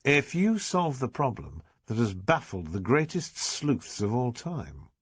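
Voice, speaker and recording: A man's voice: medium pitch, sing-song, a little slow, breathy edge, dry recording, voice pushed up. A man voicing a patient police detective, coaxing a child with friendly persuasion. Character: affable Scotland Yard detective, coaxing and patient.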